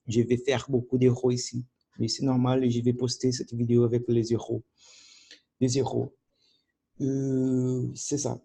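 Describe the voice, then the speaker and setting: A man whose first language is Portuguese, speaking French as a learner with a Portuguese accent in a video diary, halting and searching for words with many restarts. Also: mid-range voice, creaky, dark tone, breathy edge. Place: at home by his computer.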